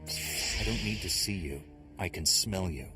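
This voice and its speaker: Deep-voiced, grim Jedi Knight. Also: male voice, measured pace. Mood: defiant. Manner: terse, blunt. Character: grim, steely male Jedi Knight, ruthless young hero. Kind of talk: video game voice acting. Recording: music under the voice.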